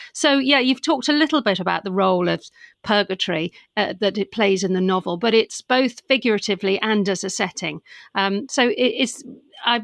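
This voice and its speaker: A woman's voice: mid-range voice, a bit bright, no echo. Woman interviewer, dry close sound. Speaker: interviewer, podcast host. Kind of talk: conversational book interview.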